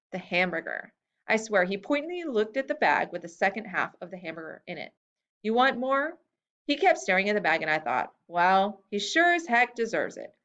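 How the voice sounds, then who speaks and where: A woman reading a first-person story aloud, lifting her voice for the quoted lines. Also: highs cut off. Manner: expressive reading that lifts pitch for quoted lines. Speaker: reader. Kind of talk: storybook reading of a memoir-style tale.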